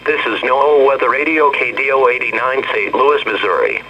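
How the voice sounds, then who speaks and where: Synthetic man, American accent, automated weather radio reading, piercingly crisp tone, formal clipped delivery, lo-fi radio sound. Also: medium pitch, bright, forceful tone. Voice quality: synthetic text-to-speech voice. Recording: dull, noisy weather radio broadcast.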